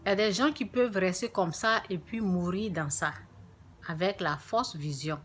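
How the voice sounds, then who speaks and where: A woman's voice: fairly low pitch, moderate pace, a bit bright, slight background noise. A woman from Africa with a francophone African accent, giving an informal spiritual talk to viewers and repeating phrases emphatically. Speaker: religious vlogger.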